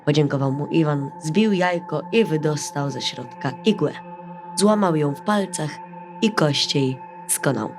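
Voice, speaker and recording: A woman storyteller narrating a bedtime fairy tale in an expressive, scripted style. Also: sits low, a little slow. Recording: music under the voice.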